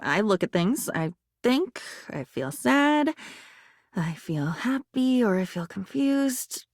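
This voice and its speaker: A woman's voice: on the high side, very animated, takes it slowly, no room sound, clean studio sound, full character voice. A woman voicing a melancholy living diary in a video game, needy and poetic, speaking in wistful, emotional fragments. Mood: weary, lonely. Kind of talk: dramatic video game voice acting.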